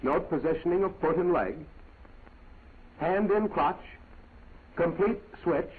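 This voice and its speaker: Male narrator, 1940s military instructional film: higher-set voice with a rough edge, clipped commanding delivery, vintage soundtrack. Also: lively intonation, slow pace. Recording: tinny band-limited old 1940s film soundtrack.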